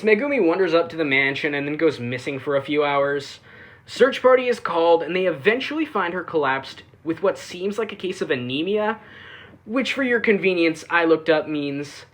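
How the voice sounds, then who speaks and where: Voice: mid-range voice, a bit bright. A reviewer narrating a scripted but casual video review, with sarcastic asides and dry humour.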